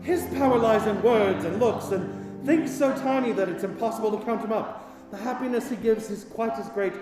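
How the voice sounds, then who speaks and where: A man's voice: high-pitched, fairly quick, natural voice. Old male miser for radio drama acted by a man: remorseful, agitated, theatrical, in long reverb with music behind. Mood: earnest, moved. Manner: emotional, theatrical, repeating words in agitation. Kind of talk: radio play acting.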